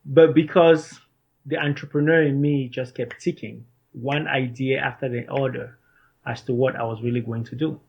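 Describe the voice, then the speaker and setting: A man in his thirties from Cameroon, a startup founder, answering interview questions in a measured, earnest way. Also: medium pitch, steady tone, vocal fry, breathy edge, dull audio. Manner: measured, earnest, some restarts.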